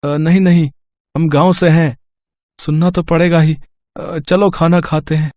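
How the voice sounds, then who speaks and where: A man's voice: on the high side, level tone, unhurried, darkish tone, dry recording, close to an everyday voice. A man playing a naive, earnest young village student in a moral story, simple and hesitant in manner. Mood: timid. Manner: simple, wide-eyed, hesitant.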